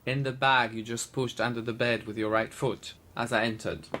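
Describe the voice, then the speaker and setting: A man with a British accent playing a stern, patronizing, quietly threatening teacher in a radio drama. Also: medium pitch, little pitch movement, lightly acted. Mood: probing, suspicious. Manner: measured, probing, patronizing.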